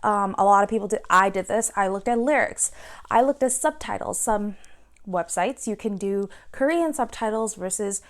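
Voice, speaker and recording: A young woman giving casual to-camera advice on learning a language, chatty and animated, playfully acting out her own reactions. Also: fairly high pitch.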